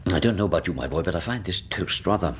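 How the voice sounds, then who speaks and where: Pompous old english dad, low voice that swoops all over, fussy, rambly, radio play. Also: male voice, lively intonation, fast, a little hiss or hum. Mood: blustery, dismissive. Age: older. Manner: pompous, rambling, drolly dismissive. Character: blustery, dismissive older Victorian father, genial and fussy. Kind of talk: radio drama acting.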